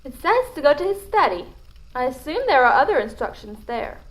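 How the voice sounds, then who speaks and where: A woman's voice: high-pitched, big pitch swings, fairly quick, low-quality recording. A woman playing a cool, composed lady in an audio drama, arch and formal in her way of speaking. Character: poised, cool woman guiding relatives through a will reading.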